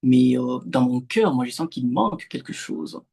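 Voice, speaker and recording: A young man from the Democratic Republic of the Congo, a Swahili native speaker, answering literary interview questions haltingly over a remote call, with many fillers and restarts. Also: mid-range voice, lively intonation, creaky, mellow, breathy edge. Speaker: novelist, interview guest.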